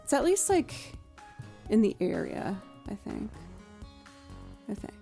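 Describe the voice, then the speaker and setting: A young woman with a naturally low voice, chatting casually with her livestream audience and thinking aloud as she goes. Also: sing-song, slow, creaky, dark tone, faint music behind. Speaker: streamer and musician.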